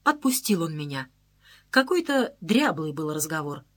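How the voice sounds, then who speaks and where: A woman reading an audiobook aloud in a lively, conversational first-person storytelling manner. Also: deep voice, moderate pace. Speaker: audiobook narrator. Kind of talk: scripted first-person narration.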